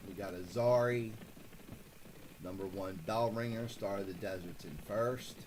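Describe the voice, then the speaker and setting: A man with a lowish voice calls horse races on a live stream. He talks slowly and rambles, stopping to correct himself. There is a faint hiss behind him. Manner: rambling, self-correcting, excited at finishes. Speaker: host calling horse races for a fantasy contest. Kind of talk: casual live-stream race commentary.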